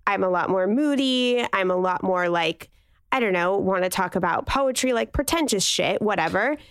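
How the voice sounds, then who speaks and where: A woman's voice: medium pitch, expressive, moderate pace, clear, resonant tone, no room sound, studio quality. A young woman on a podcast chatting casually with friends, candid and reflective, talking in long trailing runs. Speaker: podcast co-host guest. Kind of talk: casual chat between friends.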